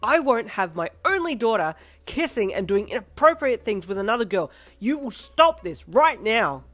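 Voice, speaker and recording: A woman voicing an angry, strict middle-aged father who barks and yells. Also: big pitch swings, moderate pace, soft background music. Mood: furious. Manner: barking, booming, yelling.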